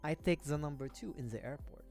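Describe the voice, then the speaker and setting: A man's voice: fairly high pitch, brisk, darkish tone, soft background music. A young man from the Philippines, chatting casually and rambling on a livestream, laughing often. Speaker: vtuber streamer. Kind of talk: casual chat while building a model kit.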